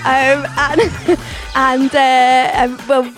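English female stand-up, playful and giggly, high pitch, music bed. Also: steady tone, extremely slow. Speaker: stand-up comedian. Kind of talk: quick-fire interview answers.

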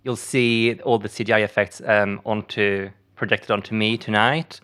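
A man's voice: lowish voice, fairly even intonation, normal speed, pushed and bright, clear, resonant tone. A man speaking as a comedian guest in a casual interview, playfully earnest and tongue-in-cheek.

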